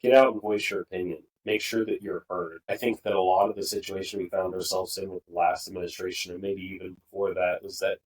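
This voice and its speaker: A man's voice: low voice, little pitch movement, a little fast, hardly any pauses, far from the mic, rough recording. A young man co-hosting a podcast, giving earnest, opinionated political views in a rambling, casual way with frequent restarts. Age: young adult. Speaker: podcast co-host.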